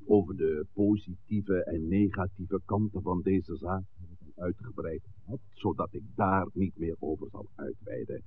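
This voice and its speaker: A man acting a calm, authoritative village priest in a 1960s radio play, speaking deliberately and oratorically. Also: low voice. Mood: measured, firm. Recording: tinny, noisy 1960s radio recording.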